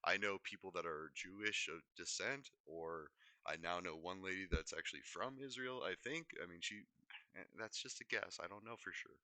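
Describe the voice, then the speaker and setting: A man's voice: sits low, normal speed, pushed and bright, dry recording. A man livestreaming in a rambling, unscripted way, often hesitating, digressing and correcting himself mid-thought. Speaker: livestream host.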